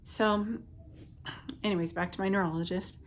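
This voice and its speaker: A woman in her late thirties telling a personal health story casually and haltingly, her voice scratchy from the tail end of a cold. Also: lowish voice, fairly even intonation. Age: late 30s. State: getting over a cold with a lingering cough. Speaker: vlogger, stay-at-home mother.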